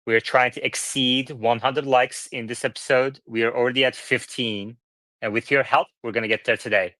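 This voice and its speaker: A man's voice: mid-range voice, fairly even intonation, moderate pace, sharp tone. A man moderates a live online panel in a mid-range voice. He speaks as a host, passing questions around to his guests, with a dry sound free of room echo. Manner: directs questions to guests by name.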